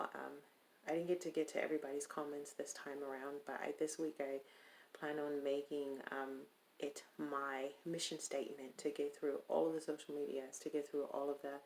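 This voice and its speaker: A woman from California vlogging casually while speaking in a deliberately put-on accent, rambling and hesitant with many pauses. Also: low-pitched, darkish tone. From Stockton, California. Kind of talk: casual video chat.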